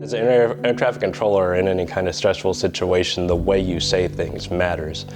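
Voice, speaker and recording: A man, an air traffic controller, explaining his work in a measured interview style. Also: sits low, steady tone. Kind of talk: interview reflection. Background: music.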